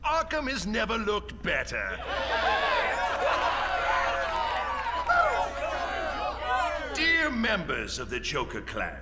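A man's voice: medium pitch, slight background noise, exaggerated voice. A man playing a gleefully menacing clown villain in a video game, mocking and theatrical. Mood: grandiose. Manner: mocking, theatrical, swooping between purr and cackle. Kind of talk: video game cutscene acting. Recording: loud cinematic music underneath.

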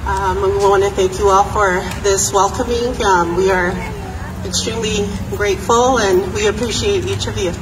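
A woman chaperone gives a slow, measured, grateful greeting to the gathered people. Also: mid-range voice, steady tone. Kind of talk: formal greeting speech. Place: outdoor plaza. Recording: distant outdoor recording with street noise.

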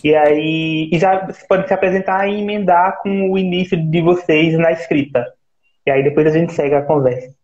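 A man's voice: a little high, steady tone, normal speed, darkish tone. A man, an editor, hosting a casual live chat about anthologies over a video call. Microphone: laptop or phone on a video call. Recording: roomy, dull video-call audio.

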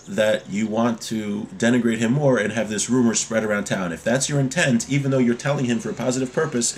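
A man's voice: mid-range voice, a little hiss or hum. A man around forty giving an informal religious lesson in a conversational way, reading Hebrew phrases and explaining them with everyday examples, recorded at a desk in a home room. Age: 30s to 40s. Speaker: religious teacher. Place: small home room, indoors. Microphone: webcam or device mic at desk distance.

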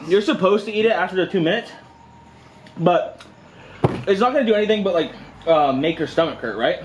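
A man's voice: high-pitched, brisk, background noise. A young man in his twenties hosting a spicy food challenge, casual and slangy. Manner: excitable, slangy, running the challenge timer. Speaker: channel host. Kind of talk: casual chat. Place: indoor studio set with lights. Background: music.

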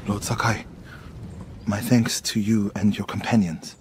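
A man playing a weary, grieving warrior monk in a game drama, speaking in a halting, somber and respectful way. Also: on the low side, steady tone, a little mellow, slight background noise, lightly acted. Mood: grieving, haunted. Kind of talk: cinematic game drama acting.

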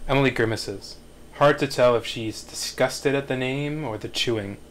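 A man's voice: medium pitch, normal speed, a little hiss or hum. A man reading stage directions as scripted narration at a screenplay table read.

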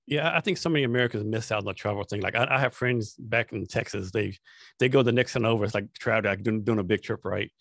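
Rapid-fire man with a gravelly voice. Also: on the low side, a bit bright, dry recording, clean studio sound. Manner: casual, lots of "like". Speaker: host. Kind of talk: casual interview questions. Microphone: studio microphone with headphones.

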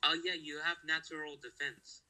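A man chatting while playing a strategy game with a friend, hesitant and halting, restarting his sentences often. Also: on the high side, fairly even intonation, pushed and bright, poor audio. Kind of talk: casual gaming chat.

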